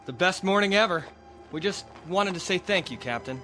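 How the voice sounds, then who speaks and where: A man's voice: high-pitched, animated, normal speed, rough recording. An actor playing a grateful, loyal colonist in a radio drama, eager and emphatic about his devotion. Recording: radio drama with faint music behind.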